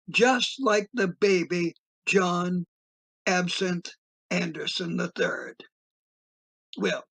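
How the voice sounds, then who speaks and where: A man playing a wistful old storyteller in his eighties in an audio play, musing and repeating himself haltingly. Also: high-pitched, gravelly, pushed and bright, full character voice. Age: 80s.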